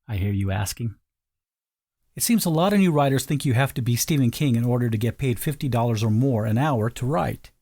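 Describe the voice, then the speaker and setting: A man's voice: medium pitch, darkish tone. A man reading a scripted sales video voice-over in an informal, conversational way, speaking directly to the listener. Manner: informal first-person direct address to the listener.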